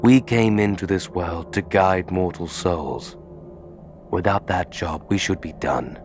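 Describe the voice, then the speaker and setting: Jackal god of death played by a man, deep pitch, soft-spoken, solemn, measured, audio drama, light music. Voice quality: soft, almost sweet. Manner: measured, philosophical. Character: hulking smoke-faced jackal death god, gentle and solemn.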